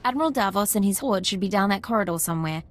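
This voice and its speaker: An actress playing a brisk, dryly wry female military squad leader in a video game. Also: mid-range voice, animated, lightly acted. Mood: urgent, steady.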